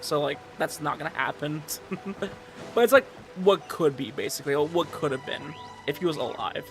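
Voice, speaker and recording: A man doing casual livestream commentary over a game, chatting with viewers in an animated, exasperated, repetitive rant. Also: fairly high pitch, normal speed, vocal fry, faint music behind. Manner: animated ranting, exasperated, swearing, repetitive emphasis.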